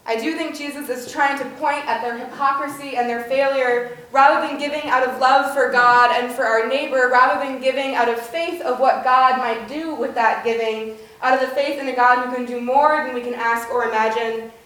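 A woman's voice: sits high, steady tone, normal speed, few pauses, fairly bright tone. A woman pastor delivering a prepared sermon in a church. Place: church, indoors, reverberant. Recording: very echoey church sanctuary.

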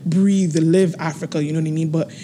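A woman in her twenties, a guest on an interview, laughing often and speaking slowly and earnestly. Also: low-pitched, fairly even intonation, warm tone, a little hiss or hum. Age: 20s. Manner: laughs often, slow and earnest when talking goals. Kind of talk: casual interview chat.

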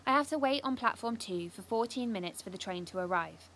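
A woman's voice: fairly high pitch, expressive, a little fast, fairly bright tone, a little hiss or hum. A British woman playing an earnest, driven teenage schoolgirl activist, confiding in the listener in an audio drama monologue. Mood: subdued, resolute.

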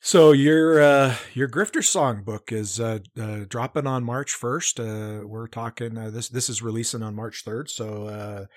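A man's voice: medium pitch, unhurried, hardly any pauses. A man hosting a podcast, interviewing a guest in a conversational way. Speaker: podcast host.

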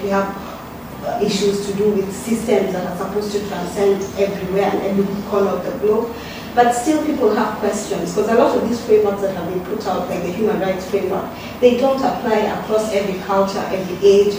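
A woman from South Sudan speaks reflectively in a church discussion, often saying "you know". Her voice comes from a distance in an echoing room, over noticeable noise. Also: mid-range voice. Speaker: women's ministry team member. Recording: echoey room from a distance, noisy.

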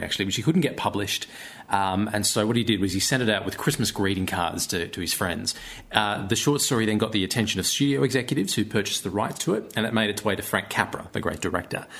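A man explaining things conversationally as an interview guest, thoughtful and often pausing mid-phrase. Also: on the low side, fairly bright tone. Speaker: podcast guest, theatre maker.

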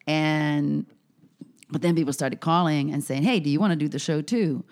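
A woman with a slightly low voice tells stories in a relaxed chat, animated and quick to swear. She is from Finland and works as a comedian and health coach, and her talk is full of fillers. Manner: animated storyteller, many fillers, swears freely. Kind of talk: casual podcast chat.

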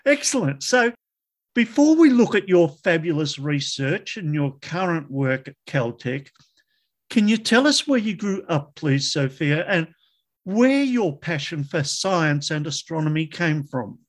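A man's voice: a little high, sing-song, measured pace. A man hosting a science podcast, introducing his guest with enthusiasm and asking about her early years. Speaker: podcast host. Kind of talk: scripted show intro and interview questions.

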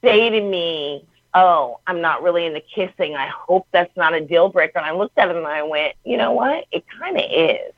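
A woman's voice: fairly low pitch, moderate pace, no echo. A woman chatting casually and telling stories emphatically on a call. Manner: emphatic, animated storytelling. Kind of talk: casual chat. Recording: tinny phone line.

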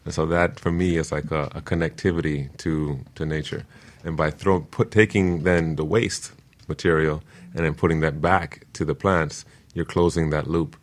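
A man with a low-pitched voice talks as a guest expert. He explains in a conversational, informal style. His delivery is measured, with passion for what he teaches. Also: fairly even intonation.

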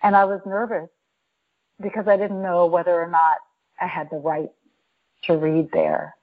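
A woman guest on a podcast telling a personal story in a reflective, halting way, with many restarts. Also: lowish voice, expressive. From New York. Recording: tinny phone-line audio.

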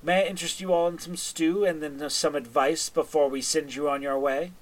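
A man voicing a sweet, doting, motherly witch sister who welcomes guests gently. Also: fairly high pitch, fairly even intonation, fairly quick, lightly acted.

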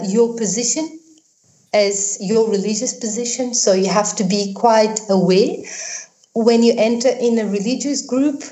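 A woman's voice: medium pitch, mellow, poor audio. A woman with a South African accent, a field researcher reflecting on her own position in a panel discussion. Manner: reflective, lays out points in order.

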